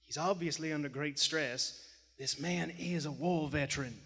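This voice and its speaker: A man's voice: on the high side, moderate pace, a bit bright, breathy edge. Man playing a mild, concerned doctor, echoing hall. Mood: soothing. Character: earnest, protective male doctor, mild and concerned. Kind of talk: 1940s radio drama acting. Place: large theater stage, live performance. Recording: live theater stage, long hall reverb.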